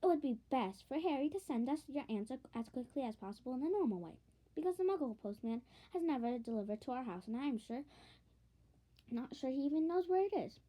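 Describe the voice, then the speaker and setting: Lady doing a friendly storybook mum, very polite n chatty, high lilting voice, eager. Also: lively intonation, a little fast, warm tone, poor audio. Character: polite, chatty, friendly mother writing a letter.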